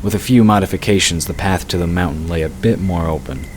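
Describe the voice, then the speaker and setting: A man's voice: low voice, fast talker, a little hiss or hum. A man reading scripted fantasy narration as the narrator of an audio drama.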